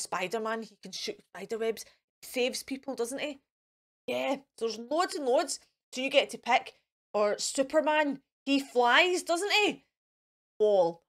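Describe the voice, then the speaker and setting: High-voiced Scottish woman presenting for children. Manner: encouraging, asks the children questions and repeats simple phrases. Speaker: children's storytime host. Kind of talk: chatty children's craft and activity presenting to camera.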